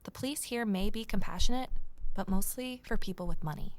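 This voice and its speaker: A woman's voice: medium pitch, brisk, very noisy, close to an everyday voice. Actress as earnest, determined young-sounding amateur sleuth woman. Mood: frustrated, brooding. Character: earnest, observant woman admin assistant turned amateur sleuth. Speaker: narrator and lead character. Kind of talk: first-person audio drama narration and dialogue.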